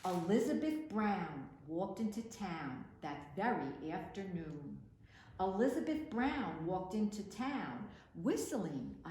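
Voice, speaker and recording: A woman reading picture books aloud for children in an expressive, deliberate storytelling delivery, recorded in a roomy space. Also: on the low side. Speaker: children's librarian, storytime reader. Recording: roomy echo with faint background noise.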